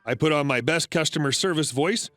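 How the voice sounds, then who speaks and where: A man narrating reddit stories in a scripted read, flat and even with sudden pitch jumps. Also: brisk, no room sound, faint music behind.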